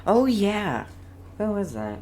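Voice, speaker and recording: A woman's voice: mid-range voice, very animated, slow, a little mellow, slight background noise. A woman giving casual gameplay commentary, thinking aloud and repeating short phrases as she plays. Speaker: gaming video host.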